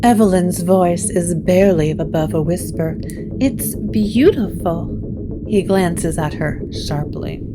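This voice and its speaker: A woman narrates a gothic audio drama with a solemn, atmospheric delivery. Her pace is slow and measured, her pitch a little low. Music plays clearly behind her. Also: fairly even intonation, poor audio.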